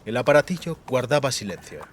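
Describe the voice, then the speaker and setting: Male narrator, medium pitch, deliberate dramatic phrasing, scripted fiction audiobook. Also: big pitch swings, a little hiss or hum.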